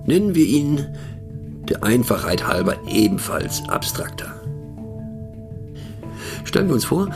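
Male radio play narrator: middle-aged, gravelly and husky, swinging intonation, wry, pensive mockery. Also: medium pitch. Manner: wry, pensive, halting, addressing a listener. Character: musing, mocking male narrator pondering abstract words. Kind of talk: conversational radio play monologue.

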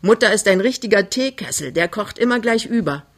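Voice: mid-range voice, moderate pace, husky. A woman voicing a cheerful, affectionate young working-class daughter in an audiobook, light and teasing.

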